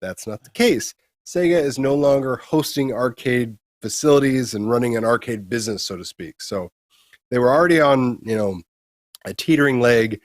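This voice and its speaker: A man's voice: mid-range voice, moderate pace, no echo. A man with an American accent talks through gaming news as a show co-host. His voice sits in a middle register and the delivery is casual discussion. The sound is dry, with little trace of a room. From United States.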